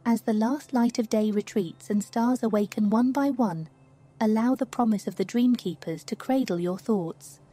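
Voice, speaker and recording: A woman reading a scripted guided sleep meditation in a slow, soothing, hushed manner. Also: a little high. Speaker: meditation narrator. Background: music.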